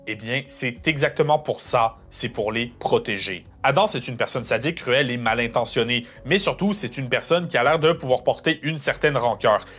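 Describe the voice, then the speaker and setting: A man's voice: mid-range voice, a bit bright, breathy edge. A man giving scripted analytical narration as a video essayist, emphatic with colloquial touches.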